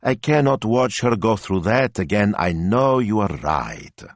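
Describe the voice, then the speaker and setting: Storybook grandfather, played by a male narrator: old, Italian, stubborn, grumbling, with big indignant pitch swings. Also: measured pace, vocal fry, dry recording, exaggerated voice. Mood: pleading, demanding. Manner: flat, grumbling, prone to outbursts.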